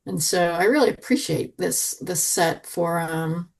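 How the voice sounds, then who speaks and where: A woman's voice: on the low side, measured pace, gravelly, distant mic. A woman on a podcast panel talking casually and reflectively, thoughtfully circling back over her phrases.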